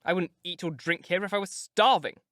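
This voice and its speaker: Male reader playing a bold young woman, higher voice, pleading but defiant. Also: fairly quick, bright, forceful tone, dry recording, clean studio sound.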